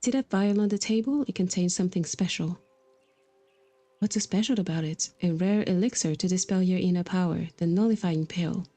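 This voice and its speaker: Mellow-voiced woman livestreaming a game. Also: lowish voice, fairly quick. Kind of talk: casual livestream chat and reading game dialogue aloud. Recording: music behind the voice. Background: game music.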